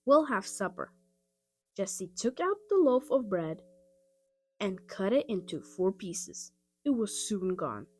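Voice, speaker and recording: A woman narrating a children's audiobook in an expressive storytelling style. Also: medium pitch, takes it slowly, faint music behind. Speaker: storybook reader.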